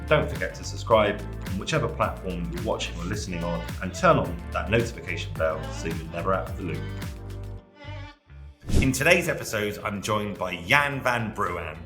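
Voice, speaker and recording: A man's voice: fairly low pitch, expressive, a little fast, roomy sound, music in the background. A man hosting a podcast, speaking as presenter and interviewer. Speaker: podcast host. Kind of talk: scripted podcast intro and interview.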